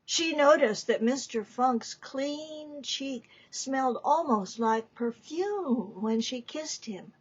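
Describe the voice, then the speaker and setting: A woman giving a dramatic reading of a story, deliberate and theatrical, with emphatic pauses. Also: sits high, expressive, breathy edge, highs cut off. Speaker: author reading from own book.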